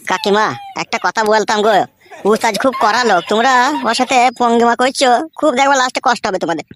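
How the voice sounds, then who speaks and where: A woman's voice: a little high, fast talker, a bit bright, natural voice. A woman voicing a timid, loyal young male henchman in a comic cartoon, pleading and servile. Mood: wheedling.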